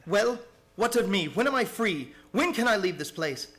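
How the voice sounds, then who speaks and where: A man acting an anxious gentleman held prisoner, speaking in earnest, formal, dramatic fashion in an old-time radio horror play. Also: high-pitched, animated, normal speed. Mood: desperate, panicked. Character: anxious English gentleman, prisoner narrating his diary.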